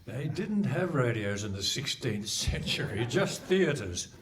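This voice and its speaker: A man's voice: medium pitch, big pitch swings, measured pace, a crowd in the background. A man playing a pompous, wry radio presenter, bantering theatrically in a live hall. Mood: exasperated. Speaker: radio play host. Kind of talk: live radio play acting. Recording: live hall with faint noise.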